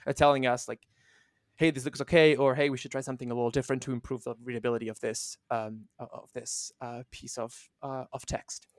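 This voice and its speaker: A man, a guest on a podcast, explaining his work in a casual, halting way with many restarts. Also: medium pitch, level tone, no room sound, clean studio sound. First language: Italian. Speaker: guest, translation manager.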